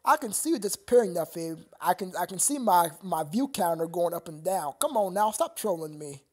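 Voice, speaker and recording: A man's voice: high-pitched, expressive, brisk, slightly rough. A young man chatting casually with viewers on his live stream, rambling and stammering with frequent laughter.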